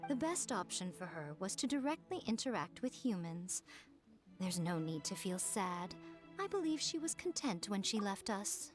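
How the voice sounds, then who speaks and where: A woman voicing a poised, knowing game schemer. Her lively, mid-pitched delivery is composed and articulate, over faint music. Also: moderate pace, natural voice. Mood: composed, reassuring. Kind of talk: video game voice acting.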